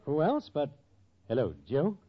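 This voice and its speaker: An actor playing a shifty, slangy small-time schemer of a boyfriend in a 1940s radio comedy, given to grand speeches. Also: male voice, on the high side, very animated, a little slow. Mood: sly, sentimental. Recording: muffled, noisy 1940s radio broadcast.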